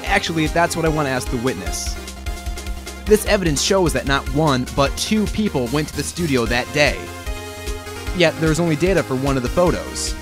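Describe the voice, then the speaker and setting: A man's voice: on the high side, lively intonation, moderate pace, natural voice. A man playing an earnest, persistent young defense lawyer in an amateur fan dub of a game, questioning pointedly. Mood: pressing. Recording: music playing behind the voice.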